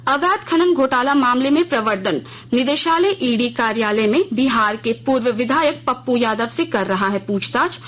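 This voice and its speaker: A woman's voice: a little high, a bit bright, poor audio. An Indian woman reading a formal, scripted radio news bulletin as the news reader.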